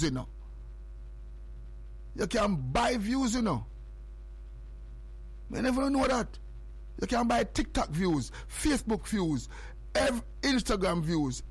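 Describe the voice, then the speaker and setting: A Jamaican man hosting morning radio, talking in a fairly high voice. He loops the same phrases and reads numbers out again and again, building up his audience. His delivery is pure broadcast patter. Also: gravelly, slightly rough. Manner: repetitive, hypes and repeats phrases and numbers. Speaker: radio host.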